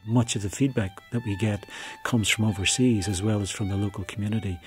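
A man in his forties with an Irish accent, speaking in measured, formal phrases with some hesitation in a documentary interview. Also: fairly low pitch, fairly even intonation, darkish tone, soft background music. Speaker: university library special collections staff.

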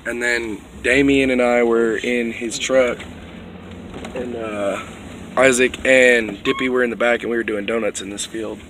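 A young man talks into a phone held at arm's length in a moving SUV. His voice is going hoarse, and his talk is loose, joking and full of swearing. Faint road noise runs underneath. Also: medium pitch, steady tone, measured pace, a bit bright, poor audio. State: losing his voice. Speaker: vlogger. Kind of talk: casual car vlog.

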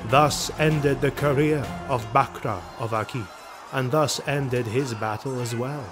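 Man narrating dark fantasy over music. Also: mid-range voice, measured pace. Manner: grave, dramatic storytelling. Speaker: audio drama narrator. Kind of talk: scripted dramatic fantasy narration. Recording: music behind the voice. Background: dramatic music.

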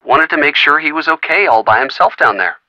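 A wry young guy friend in a video game, easygoing and teasing with a lively, swinging delivery. The tone is very bright and forward, recorded dry in a close studio. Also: a little high, fairly quick, no room sound, dull audio. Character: young male friend, wisecracking and supportive. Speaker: phone friend of the player character.

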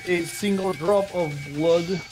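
Man acting slow, sly, indignant murder suspect. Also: high-pitched, steady tone, darkish tone. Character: suspected teenage male culprit, sly and indignant. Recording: game music clearly behind.

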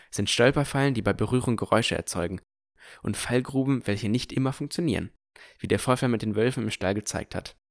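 A man playing an earnest, curious boy who narrates a fantasy audio drama in a measured, descriptive way. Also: fairly low pitch, creaky, dry recording, clean studio sound. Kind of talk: scripted first-person audio drama narration.

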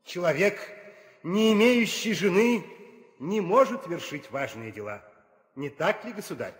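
An actor playing a dignified royal counsellor, with formal, measured declamation in a theatrical audio play. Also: male voice, high-pitched, very animated. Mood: dignified, persuasive. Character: dignified royal counsellor citing ancient law. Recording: reverberant 1960 audio play record.

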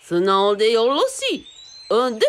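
A woman's voice: fairly high pitch, huge pitch swings, a little slow, clear, resonant tone. A woman playing a boastful, eccentric rich guru in a radio drama, pompous and giggly, with mock traditional-storyteller phrasing. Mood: pompous, teasing.

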